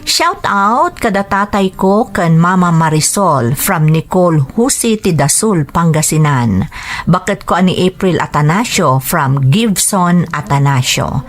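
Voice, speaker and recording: A woman presents as the host of a story program. Her voice sits a little low for a woman. She reads her script and greetings slowly, name by name. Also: animated. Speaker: radio drama program host. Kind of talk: scripted narration and listener shout-outs.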